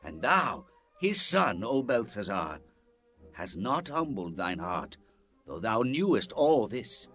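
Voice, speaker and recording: An actor playing a stern, fearless Hebrew prophet in a dramatized Bible, solemn and declamatory. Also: male voice, medium pitch, fairly bright tone.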